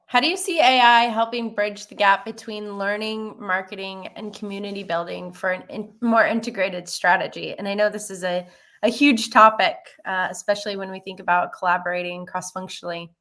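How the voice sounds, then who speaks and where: A woman's voice: medium pitch, fairly even intonation, brisk, fairly bright tone. Woman hosting a webinar interview. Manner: brief affirmations, reads out prepared questions. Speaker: webinar host.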